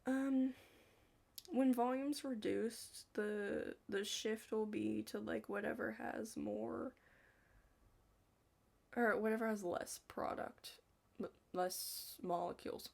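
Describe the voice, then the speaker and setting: A young woman chatting casually in an ASMR study roleplay, rambling and hesitant, hushed and slipping into whispers. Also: a little high, fairly even intonation, slow, creaky. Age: young adult. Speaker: ASMR creator playing a study partner.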